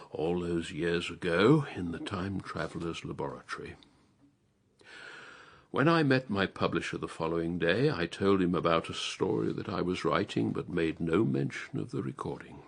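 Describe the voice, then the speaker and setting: An actor playing a tired, pessimistic elderly English writer in a radio drama, husky and measured, with a wry, courteous, literary manner. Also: male voice, low voice, full character voice. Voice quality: husky, gravelly. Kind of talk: radio drama dialogue and reflective monologue.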